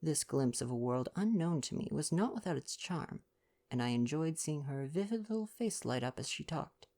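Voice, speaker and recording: A woman reading gently in a soft ASMR bedtime storytelling style. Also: deep voice, lively intonation, moderate pace. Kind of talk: soft bedtime audiobook reading with casual asides.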